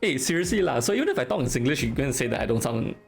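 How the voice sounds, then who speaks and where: A man's voice: medium pitch, big pitch swings, racing, creaky, faint music behind. A man from Singapore with a Singaporean English accent, chatting casually on a live stream, teasing, reading chat aloud and laughing often.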